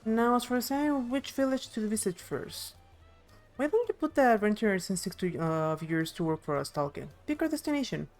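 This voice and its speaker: A woman's voice: fairly high pitch, expressive, brisk, darkish tone. A woman streaming a game, reading dialogue aloud with side comments and the occasional stumble. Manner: reads with occasional stumbles and self-corrections. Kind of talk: live playthrough, scripted dialogue read aloud with side comments. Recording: game music behind the voice. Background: game music.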